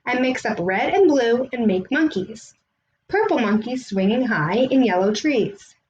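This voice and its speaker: A woman reading a children's picture book aloud in an expressive storytelling style. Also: on the high side, measured pace, dull audio, a little hiss or hum.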